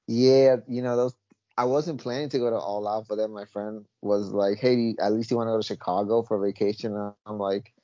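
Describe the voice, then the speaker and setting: A North American man co-hosts a casual podcast chat. His voice sits a little low and he talks in a laid-back way with drawn-out uhs. The sound is dull, dry and lo-fi. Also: steady tone, warm tone, no echo. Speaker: podcast co-host.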